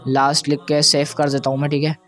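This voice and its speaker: A man's voice: a little high, monotone, fast talker, fairly bright tone. A man presenting an audio editing tutorial in a casual, conversational way, often checking that listeners follow. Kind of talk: informal software tutorial.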